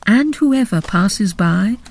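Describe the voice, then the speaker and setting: A woman giving an expressive, theatrical reading of literary narration and verse. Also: mid-range voice, slow pace. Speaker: narrator and poetry reader. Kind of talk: literary narration and dramatic recitation.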